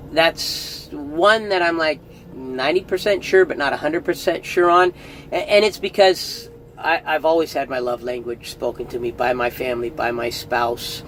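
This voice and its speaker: A man's voice: on the high side, slight background noise, poor audio. A middle-aged man giving a casual, earnest spoken review, chatty and often restarting phrases. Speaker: audiobook reviewer.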